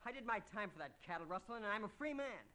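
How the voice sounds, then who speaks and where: An actor playing a hot-headed, defiant young ex-convict gunslinger in an old radio western. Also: male voice, high voice, animated, fast talker, sharp tone. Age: young adult. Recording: noisy vintage radio recording.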